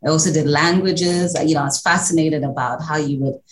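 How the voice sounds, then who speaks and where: Lower-pitched Kenyan woman reflecting in interview. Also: fairly even intonation, a little fast, reverberant. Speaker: interview guest, executive director. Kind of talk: conversational interview.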